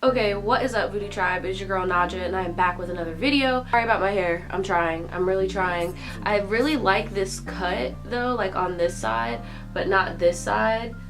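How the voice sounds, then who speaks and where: A woman's voice: mid-range voice, expressive, moderate pace, music in the background. Congested young woman telling a story. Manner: chatty, lots of fillers like "like". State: congested from a cold. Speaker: YouTuber. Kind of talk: storytime vlog.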